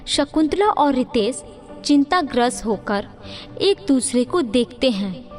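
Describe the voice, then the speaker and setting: A woman narrates a scripted moral story in a very high voice. She takes her time over each phrase, with long reverb and faint music around her. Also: expressive, measured pace. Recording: reverberant hall-like sound.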